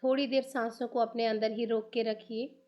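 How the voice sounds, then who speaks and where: A woman with an Indian accent guides a meditation in a soothing manner, giving instructions in short, unhurried phrases. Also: a little high, little pitch movement, dull audio. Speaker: meditation guide, channel host.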